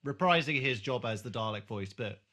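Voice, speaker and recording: A man chatting casually as a podcast host, recapping a TV drama in a halting way with searching pauses. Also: medium pitch, lively intonation, bright, forceful tone.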